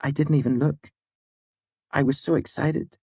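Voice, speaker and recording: A man voicing an excited, playful young woman scholar in an audiobook story. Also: sits high, fairly quick, no echo, pitched above the performer's own voice. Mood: mournful.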